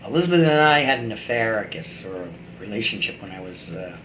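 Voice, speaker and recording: A man's voice: fairly low pitch, animated, fast talker, highs cut off. A middle-aged man giving a rambling, blunt and opinionated personal monologue, like a video blogger talking to his camera. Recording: echoey room with faint background noise.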